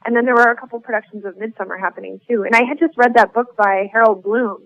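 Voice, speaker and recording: A woman's voice: fairly high pitch, fairly even intonation, quick pace, muffled recording. A woman speaking casually as a playwright being interviewed.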